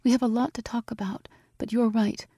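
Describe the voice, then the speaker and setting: A woman voicing an emotional, excited young winged heroine in a storybook. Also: fairly high pitch, fairly quick, husky, dry recording, studio quality, voice pushed up. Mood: tender.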